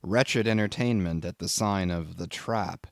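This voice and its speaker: A man's voice: sits low, a little slow, gravelly, no room sound, clean studio sound, pitched below the performer's own voice. A man voicing a wry, poor sailor who talks to himself in exclamatory, old-fashioned, self-mocking phrases for an audiobook. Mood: wry exclaiming.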